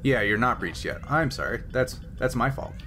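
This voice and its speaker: A man running a tabletop roleplaying game as game master, explaining rules and thinking aloud in a halting way. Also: medium pitch, lively intonation, bright, forceful tone. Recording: noisy background.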